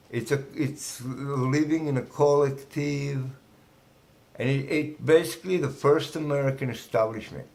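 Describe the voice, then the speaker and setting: Slow, gravelly Israeli man in his sixties. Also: medium pitch, a little mellow. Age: 60s. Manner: halting, broken English with restarts. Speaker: guest. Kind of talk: casual interview.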